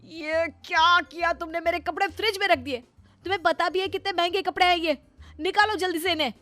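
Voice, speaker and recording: A woman's voice: very high voice, fairly quick, dry recording. A woman voicing an exasperated young husband in a cartoon story, fussy and incredulous but indulgent. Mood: exasperated, scolding. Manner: incredulous, fussy, explaining patiently when calm. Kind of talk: Hindi cartoon storybook dialogue.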